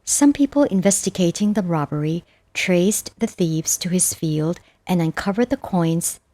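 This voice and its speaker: Slow, mellow woman narrator. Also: lowish voice, expressive, dry recording. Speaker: audiobook narrator. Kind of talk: scripted narration of Buddhist verses and stories.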